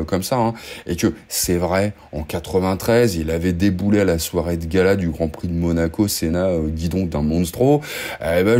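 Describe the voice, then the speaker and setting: Sardonic man with a dark, mellow voice. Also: low-pitched. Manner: sardonic, joking, gloomy gravedigger tone; chatty, full of asides and fillers. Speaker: motorcycle news host. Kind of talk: casual chatty news commentary.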